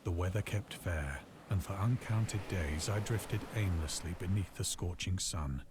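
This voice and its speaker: A man's voice: low voice, measured pace, background noise. An actor playing a shipwrecked man recounting his horror in grave, formal, literary narration for a visual novel. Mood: grave, haunted. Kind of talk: scripted dramatic narration. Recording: loud music bed under the voice. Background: loud music.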